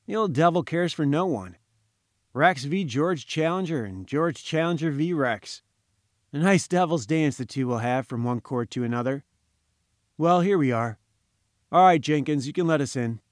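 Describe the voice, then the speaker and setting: A man's voice: on the high side, lively intonation, normal speed, no room sound, studio quality, natural voice. A man voicing a genial, wry journalist in a storybook, friendly but firm, with breezy old-chap slang. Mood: amused, breezy.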